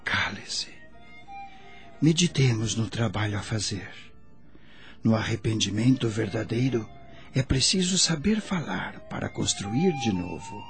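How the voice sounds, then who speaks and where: An actor playing a gentle spirit healer in a Brazilian radio drama, speaking in a solemn, measured, preaching manner with a Brazilian accent. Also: male voice, fairly low pitch, animated, faint music behind. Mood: hushed, tender. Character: gentle male spirit healer counselling a patient.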